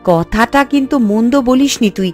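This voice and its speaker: A woman's voice: fairly high pitch, expressive, moderate pace, close to an everyday voice. A woman voicing a keen, chatty younger village woman in a cartoon. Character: younger village sister-in-law, keen and suggestive of ideas. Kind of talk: Bengali village cartoon dialogue. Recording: music under the voice.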